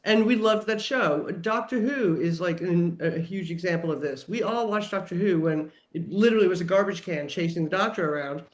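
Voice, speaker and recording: A man's voice: high-pitched, brisk, hardly any pauses. A man chatting casually as a podcast panelist, making his points in an emphatic, conversational way.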